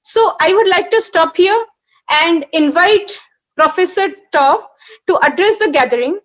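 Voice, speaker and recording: A woman's voice: extremely high pitch, lively intonation, unhurried, fairly bright tone, rough recording. A woman with an Indian English accent, formally and politely introducing the speaker at a webinar. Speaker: webinar moderator.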